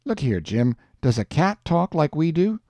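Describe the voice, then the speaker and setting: A man's voice: a little high, big pitch swings, moderate pace, a little mellow, dry recording. A man voicing a plain-spoken, patient boy with a Southern US accent, explaining and questioning in folksy speech. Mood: reasoning.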